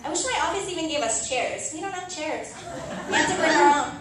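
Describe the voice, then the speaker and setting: Brash young woman, played by a stage actress, spiteful and emphatic, fairly high voice, distant in a very echoey room with faint noise. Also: animated, fairly quick, fairly bright tone, some chatter behind. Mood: griping. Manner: casual, profane, emphatic. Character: brash, spiteful young woman one-upping her frenemy. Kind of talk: staged reading of a comic play. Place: live reading in a tavern.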